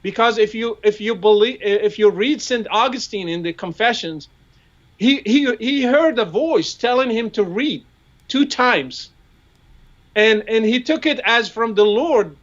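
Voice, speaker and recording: A man's voice: high voice, expressive, unhurried, dull audio. A man with an Arabic accent, a native Arabic speaker, explaining his points in a discussion and asking rhetorical questions, often repeating words as he builds up. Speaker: guest commentator. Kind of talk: discussion on a live show.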